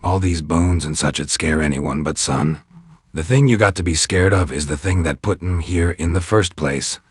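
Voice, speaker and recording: A man voicing a folksy old male storekeeper with a rusty, creaky voice, giving a drawling warning. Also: sits low, moderate pace, no echo, studio quality. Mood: ominous.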